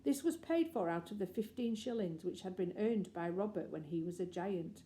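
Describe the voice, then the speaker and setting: A woman's voice: lowish voice, lively intonation, moderate pace, warm tone, slight background noise. A woman reading a children's storybook aloud as scripted narration. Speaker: audiobook reader.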